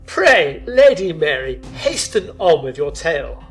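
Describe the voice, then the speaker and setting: A man's voice: a little high, big pitch swings, a little slow, fairly bright tone, faint music behind. Man playing a pompous, swooping villainous bridegroom. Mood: uneasy, impatient. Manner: pompous, florid old-fashioned diction. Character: proud, suave villainous bridegroom, falsely affectionate, menacing. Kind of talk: theatrical storytelling of a folk tale.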